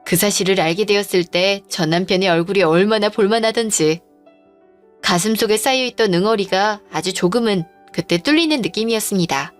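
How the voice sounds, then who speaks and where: A woman in her forties narrating a family story and speaking her own part, calm, firm and matter-of-fact. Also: medium pitch, a little fast. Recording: dry studio voice-over with faint music.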